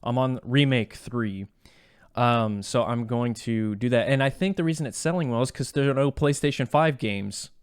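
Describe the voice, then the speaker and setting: Man chatting casually, medium pitch, dry sound. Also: normal speed, clean tone, no echo. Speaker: podcast co-host. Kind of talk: casual gaming podcast chat.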